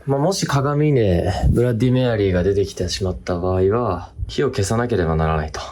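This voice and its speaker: A man hosting a ghost-hunting vlog, talking to camera by himself in short, halting fragments. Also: lowish voice, lively intonation. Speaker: host of a ghost-hunting video series. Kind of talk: hushed solo vlog narration to camera. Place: indoors, hotel room. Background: music.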